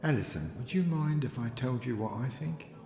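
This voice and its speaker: A man playing a gentle, frank confidant in an audiobook, earnest in manner. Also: medium pitch, animated, normal speed, close to an everyday voice. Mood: gentle candor. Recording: echoey hall, crowd noise behind.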